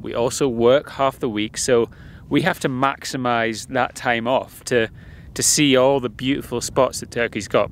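A young man holds the camera at arm's length outdoors beside a van and chats to his viewers. His voice sits at an ordinary middle pitch, and he explains things in a relaxed, conversational way. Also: slight background noise. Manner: chatty, explanatory. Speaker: van life vlogger. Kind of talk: casual travel vlog to camera. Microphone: handheld camera at arm's length.

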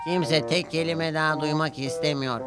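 A man's voice: on the high side, steady tone, normal speed, voice pushed up, natural voice. A grumpy talking locomotive acted out by a man, grumbling and irritated in a somewhat raised voice. It is a children's cartoon part with music underneath. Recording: music behind the voice.

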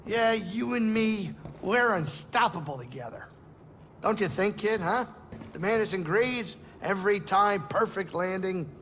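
An actor playing a small, grumbling, cocky alien starship pilot in a video game, with a blustery, fussy, chatty delivery. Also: male voice, high-pitched, lively intonation, a little slow, fairly bright tone, a little hiss or hum, heavily acted. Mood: boastful, chummy. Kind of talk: comic-relief video game acting. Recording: reverberant, music behind the voice. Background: clear cinematic music.

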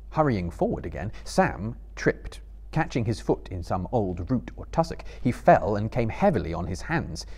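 Guy in his 30s reading a novel aloud on stage, low voice, solemn and measured. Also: darkish tone, breathy edge. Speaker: reader performing for a live audience. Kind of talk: dramatic literary narration. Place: small indoor stage before an audience.

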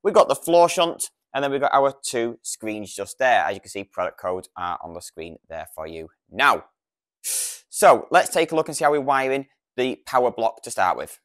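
A man's voice: mid-range voice, big pitch swings, normal speed, a bit bright. A British man in his thirties giving an informal, step-by-step product demonstration in a practical, explanatory way, on a lapel mic. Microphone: lavalier lapel microphone.

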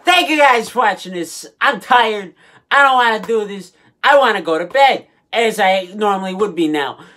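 A woman's voice: medium pitch, expressive, a bit bright. Woman doing sarcastic reaction commentary, exasperated, stumbly, voice all over the place. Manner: exasperated, halting, repetitive. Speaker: comedy show host. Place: small indoor room full of collectibles.

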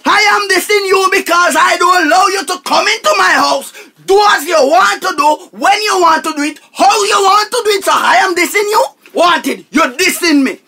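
An actor playing a pompous, tight-fisted Jamaican householder in a radio family drama, scolding emphatically and repeating himself. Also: male voice, very high voice, normal speed, hardly any pauses, pushed and bright, exaggerated voice. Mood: outraged. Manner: emphatic, declamatory, repetitive scolding.

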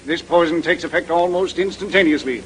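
An actor playing a grave, formal doctor in a 1930s radio mystery, speaking deliberately. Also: male voice, on the high side, natural voice. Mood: grave, astonished. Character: authoritative male doctor, mature, grave and deliberate. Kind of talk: 1930s radio drama acting. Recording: noisy vintage 1930s radio recording.

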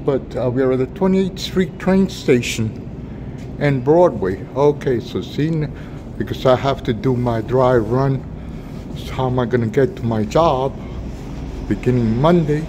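A man narrating a casual handheld vlog, speaking to viewers in short, offhand remarks. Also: measured pace. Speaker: vlogger filming a walking tour. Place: underground subway station platform. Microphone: handheld phone, held by speaker behind camera. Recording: noisy subway platform, train rumble. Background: trains and station rumble.

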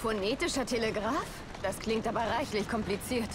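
A composed young Victorian heroine from a video game, played by a woman. Her voice sits a little high and she speaks politely and directly, with confidence. Noticeable noise runs behind her. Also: moderate pace, lightly acted. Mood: confident, curious. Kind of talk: German video game dub acting.

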